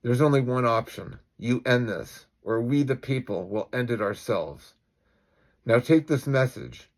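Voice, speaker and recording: A man playing a calm, defiant citizen vigilante with deliberate, declamatory speech in an acted-out story. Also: medium pitch, lightly acted. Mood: grim, resolute.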